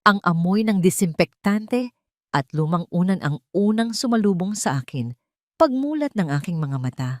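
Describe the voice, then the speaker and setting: Filipino lady reading a dramatic story, lowish voice that swoops around, really dry close sound. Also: animated, normal speed, no room sound. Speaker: audio drama narrator. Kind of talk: dramatic first-person scripted story narration.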